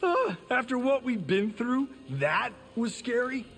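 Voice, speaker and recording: A man playing a cocky, wisecracking film-star fighter in a video game, husky and full of slang. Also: extremely high pitch, very animated, measured pace, fairly bright tone, long reverb tail, exaggerated voice. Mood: cocky, teasing. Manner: slangy, joking. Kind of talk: video game character dialogue.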